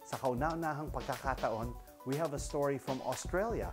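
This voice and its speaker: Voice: mid-range voice, a little fast, music in the background. A show host giving a formal, polite scripted introduction to a news feature.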